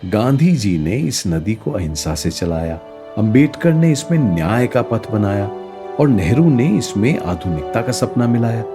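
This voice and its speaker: An actor playing a learned teacher who explains history in a didactic, rhetorical way, mixing in English words, in an educational radio play. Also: male voice, fairly low pitch, sing-song, a little slow, warm tone, soft background music. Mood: emphatic.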